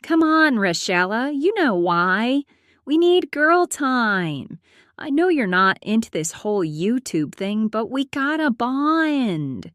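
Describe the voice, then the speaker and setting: A woman's voice: fairly high pitch, sing-song, takes it slowly, fairly bright tone, no echo, clean studio sound, pitched above the performer's own voice, full character voice. A woman voicing a bubbly, teasing young female friend in a narrated story, with a gushing, coaxing manner. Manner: sing-song, gushing, coaxing.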